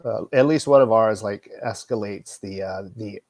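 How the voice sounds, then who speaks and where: A man's voice: on the low side, normal speed, darkish tone, no room sound. A man, a guest co-host, sounding congested and talking in a friendly, chatty way. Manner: friendly, chatty, does cat meows. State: congested, snotty. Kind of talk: casual podcast chat.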